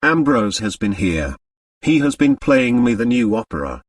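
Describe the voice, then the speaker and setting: A man's voice: medium pitch, very animated, normal speed, no room sound, distant mic. Man playing a languid, seductive female dancer. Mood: languid, coaxing. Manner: murmuring, languid, dramatic. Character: languid, self-absorbed, seductive female dancer, theatrical and grasping.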